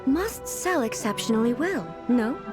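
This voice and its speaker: A woman's voice: fairly high pitch, sing-song, measured pace. A woman voicing a regal, composed ruler in a video game, stately, formal and bluntly curious. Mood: puzzled. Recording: music behind the voice.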